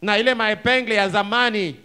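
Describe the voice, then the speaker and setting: A man's voice: sits high, normal speed, bright, forceful tone, clean tone. A man with a Congolese accent interpreting a sermon phrase by phrase, emphatic and fervent, matching the intensity of the preaching.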